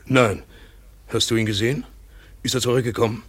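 An actor playing an embittered, despairing European trader and father in middle age in a German radio drama, with a husky, gravelly voice and a brooding, halting manner. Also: mid-range voice, fairly even intonation, lightly acted. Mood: alarmed. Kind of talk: mid-century German radio play acting.

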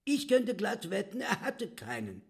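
A cheeky demon tomcat from a radio play, very high and creaky. The pitch leaps about theatrically, full of impish bluster. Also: male voice, wildly swooping pitch, measured pace, full character voice. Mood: gleeful. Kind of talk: radio drama acting.

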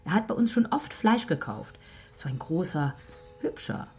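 An actress playing a curious, fretful middle-aged butcher's wife in a radio drama. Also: female voice, lowish voice, big pitch swings, moderate pace, darkish tone. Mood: curious insistent. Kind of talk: German radio drama acting.

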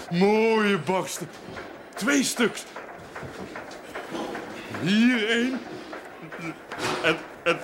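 A naive, excitable village man with the mind of a child. His voice sits high and swings widely, in slow, repetitive bursts, on a noisy seventies television drama soundtrack. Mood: naive, earnest.

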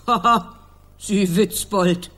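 An actress playing a brisk, unimpressed post-office worker in a children's radio play. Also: female voice, mid-range voice, a bit bright.

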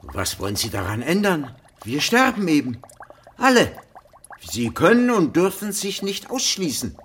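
A man's voice: a little high, sing-song, measured pace, a bit bright. An injured, befuddled postman in a radio drama. He is stubborn and plaintive, with terse lines and a very mobile intonation over faint noise. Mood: stubborn, grave. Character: injured, dazed, stubborn male postman, protesting. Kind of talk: German radio drama acting.

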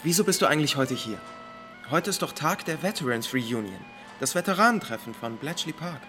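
A man's voice: on the high side, expressive, brisk, music in the background, natural voice. A man playing a curious, sympathetic acquaintance in a radio drama. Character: curious, sympathetic man chatting at a veterans' reunion.

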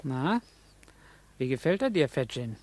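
Streetwise young pickpocket lad, rough-edged voice, cocky and breezy, fifties radio play acting. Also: male voice, mid-range voice, very animated, a little slow, darkish tone. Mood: cheeky, boastful. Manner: jaunty, teasing, chuckling. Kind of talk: 1950s German radio drama acting.

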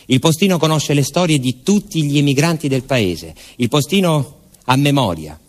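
Theatrical male narrator, deliberate and emphatic. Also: medium pitch. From Salento, southern Italy. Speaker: stage narrator and actor.

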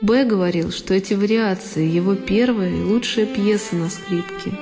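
A woman's voice: mid-range voice, normal speed. A woman narrating a classic novel as a scripted solo audio performance. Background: music.

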